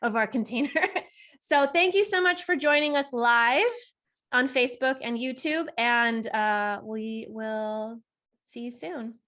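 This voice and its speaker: A woman's voice: a little high, measured pace, bright, forceful tone. A woman co-hosting a live webinar in a casual, chatty, enthusiastic way with frequent giggles. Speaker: webinar co-host. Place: online video call.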